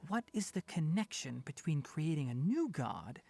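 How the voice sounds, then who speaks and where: A woman voicing a composed young male scholar in an anime video game, measured, articulate and thoughtful. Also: sits low, sing-song, gravelly, warm tone, husky, full character voice. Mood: serious, resolved.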